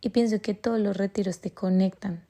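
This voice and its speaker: A woman's voice: mid-range voice, steady tone, a little fast, creaky, mellow. A young Colombian woman with a Colombian accent, hosting a podcast and telling a personal story in a rambling, casual way with many fillers. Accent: Colombian Spanish. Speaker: podcast host.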